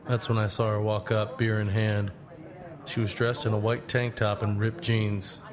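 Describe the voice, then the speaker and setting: A man's voice: lowish voice, level tone, normal speed, a crowd in the background, close to an everyday voice. An actor playing a reflective young traveler, narrating his own story in a scripted audio drama. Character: reflective young male traveler back from a long walk. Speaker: narrator and lead character.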